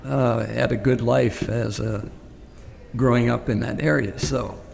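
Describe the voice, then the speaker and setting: A man's voice: mid-range voice, fairly even intonation, unhurried, vocal fry, a little mellow. A man from Pennsylvania whose first language is Pennsylvania Dutch, recalling his upbringing in an oral history interview. He talks hesitantly, with many fillers and restarts. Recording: long reverb with faint noise.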